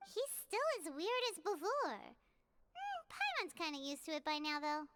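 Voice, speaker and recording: A woman's voice: huge pitch swings, normal speed, very noisy, full character voice. A woman voicing a tiny, chirpy female companion in a game who talks about herself in the third person. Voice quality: very high, squeaky.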